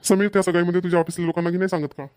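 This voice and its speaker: Man acting an approving village father, quick-spoken. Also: sits high, flat delivery, darkish tone, clear, resonant tone, lightly acted. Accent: Vidarbha Marathi. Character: approving middle-aged village father, easygoing head of household. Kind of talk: amateur cartoon dialogue.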